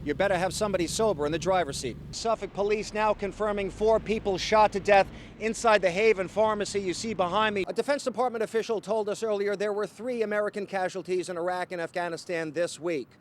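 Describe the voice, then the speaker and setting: Man, TV news reporter, high voice, punchy emphatic delivery, broadcast field reporting, light background noise. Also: quick pace. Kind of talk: broadcast news reporting, live stand-ups and scripted packages.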